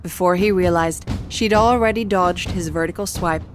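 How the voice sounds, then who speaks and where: A woman's voice: on the low side, normal speed. A woman reading a scripted story as the narrator of an audio drama. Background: music.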